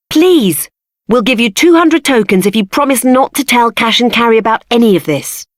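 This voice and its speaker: A woman's voice: on the high side, no echo, clean studio sound. A woman playing an anxious character driving a bargain in a video game, pleading yet firm. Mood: worried.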